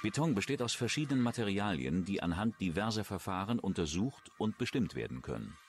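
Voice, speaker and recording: A man's voice: on the low side, very animated, fairly quick. A man reading scripted true-crime documentary narration as the narrator. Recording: music under the voice. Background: music.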